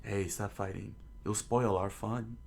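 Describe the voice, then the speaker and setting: A man voicing a boisterous crew of male pirates, loud and rowdy. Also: on the low side, fairly even intonation, a little slow, vocal fry, slight background noise.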